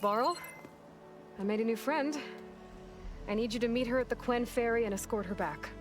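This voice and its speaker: A woman's voice: a little high, moderate pace, natural voice. An actress playing a resolute young female hunter in a video game, firm and level, over game music. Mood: reassuring. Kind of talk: video game cutscene acting.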